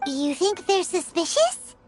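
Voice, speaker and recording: A woman voicing a small, childlike fairy companion in a video game, squeaky, curious and eager. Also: sing-song, unhurried, over music, full character voice. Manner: inquisitive, eager.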